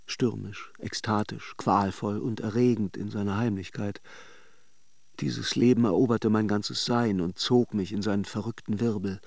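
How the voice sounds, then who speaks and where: A melancholic lover in a literary radio drama, reflective and intimate. His low voice has a gravelly creak. He speaks in measured, introspective phrases, tender yet detached. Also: fairly even intonation, a little mellow, no echo. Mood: reflective, wistful. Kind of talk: literary radio drama, introspective first-person narration and dialogue.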